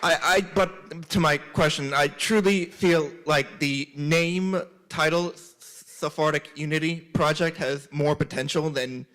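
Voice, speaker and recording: Male audience member, loud and earnest, higher pitch. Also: a little slow, hardly any pauses, low-quality recording.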